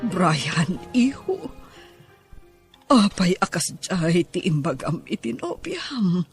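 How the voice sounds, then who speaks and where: A woman's voice: on the low side, big pitch swings, slow pace, over music, full character voice. A woman with an Ilocano Filipino accent playing an anguished, devout mother pleading melodramatically in a podcast drama. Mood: sorrowful.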